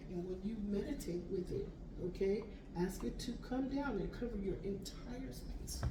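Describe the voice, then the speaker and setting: Woman, raspy voice, spiritual card reading, affirming, noisy background. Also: mid-range voice, far from the mic. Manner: gentle, affirming, reads cards aloud. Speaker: tarot reader. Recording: echoey room, voice from off mic, background noise.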